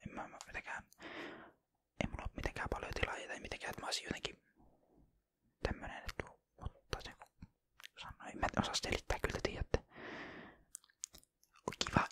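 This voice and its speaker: An ASMR YouTuber from Finland whispering close to the microphone while casually rambling through viewer comments. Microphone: close ASMR microphone, bumped at times.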